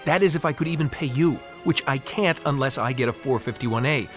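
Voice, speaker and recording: A man's voice: mid-range voice, fairly quick, faint music behind, natural voice. A man playing an unbending, officious military bureaucrat in a game cutscene, pedantic and exasperated. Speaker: military pay clerk. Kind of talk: video game cutscene acting.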